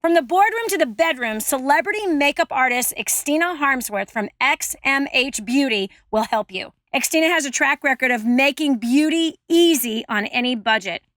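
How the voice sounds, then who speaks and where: A woman with a high voice delivers a scripted advertisement. She works as a promotional announcer, close to the microphone. The sound is very dry, free of room echo. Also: lively intonation, moderate pace, hardly any pauses, fairly bright tone.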